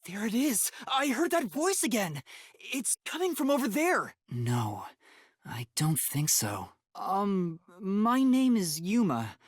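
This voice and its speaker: A soft, breathy boyish video game detective, earnest and timid, with a polite, hesitant way of speaking. His pitch swings widely from line to line. The recording is very dry and close. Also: huge pitch swings, takes it slowly, dry recording, full character voice. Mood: startled, alert, then pensive, hesitant. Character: earnest, timid young male detective trainee. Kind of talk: English video game voice acting.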